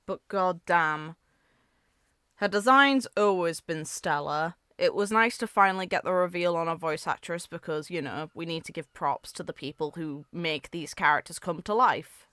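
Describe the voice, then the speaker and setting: Clear, lowish-voiced woman giving casual reactions. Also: normal speed, a bit bright, dry recording, clean studio sound. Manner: musing, hedging, conversational. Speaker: video game reaction creator.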